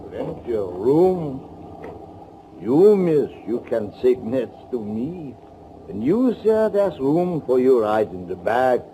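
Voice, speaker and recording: An actor playing an affable, oily villain in a 1940s radio thriller, talking with a genial, sly, unhurried manner. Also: male voice, medium pitch, sing-song, dark tone. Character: affable, oily male bus passenger, secretly a Nazi killer. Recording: faintly noisy 1940s radio recording.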